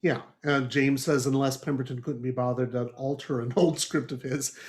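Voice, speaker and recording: A man's voice: medium pitch, steady tone, moderate pace. A man hosting a casual podcast book discussion, agreeing readily and criticising with wry humour.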